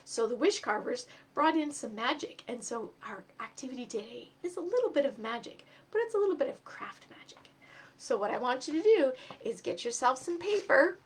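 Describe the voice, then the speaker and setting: Woman, high voice, children's storytime reader, expressive, step-by-step explaining, speaks straight to young listeners. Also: normal speed, a little hiss or hum. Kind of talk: animated storybook reading and craft instruction for children.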